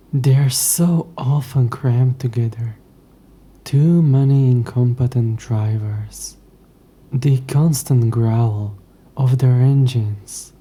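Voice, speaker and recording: A man playing a haughty, possessive ancient demon in a scripted audio roleplay, with a hushed, breathy voice and dry, condescending, formal speech. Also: medium pitch. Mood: disgusted grumbling.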